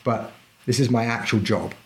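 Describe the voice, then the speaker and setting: A man's voice: mid-range voice, moderate pace. A middle-aged man talking casually as a podcast guest, a historical fencing instructor who speaks in a chatty, emphatic way and often checks in with "right?". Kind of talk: casual interview chat.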